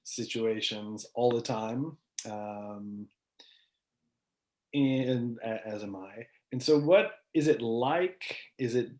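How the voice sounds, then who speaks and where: A man hosts a casual interview in an easygoing way, thinking aloud as he goes. He often pauses and lets his phrases drift off. His voice carries a noticeable room echo. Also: mid-range voice, darkish tone. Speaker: podcast host interviewing a guest. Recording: echoey room.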